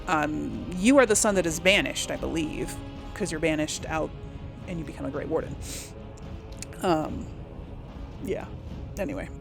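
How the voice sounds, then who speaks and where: A woman with a fairly low voice and noticeable vocal fry chats through a video game. She rambles and thinks aloud, sounding unsure of details. Game music plays behind her. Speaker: video game let's-play host. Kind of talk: casual game commentary.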